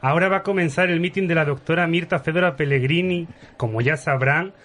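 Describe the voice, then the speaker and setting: A man's voice: a little high, a little fast, a bit bright, natural voice. A man playing a pompous, gossipy viscount with formal, ornate speech in a parody radio soap opera. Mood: conspiratorial. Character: pompous, gossipy male viscount, government right-hand man.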